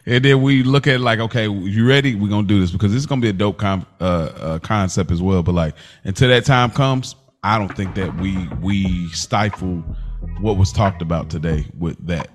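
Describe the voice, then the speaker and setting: A man's voice: deep voice, moderate pace, faint music behind. A man co-hosting a podcast, chatting casually and full of slang. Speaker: podcast co-host.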